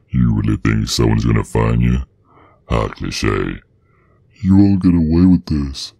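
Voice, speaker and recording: A man voicing a menacing, protective werewolf father in an ASMR roleplay, with a Southern US accent and a very deep, gravelly, husky voice, drawling out taunts. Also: lively intonation, slow pace, dark tone, exaggerated voice. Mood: sadistic menace.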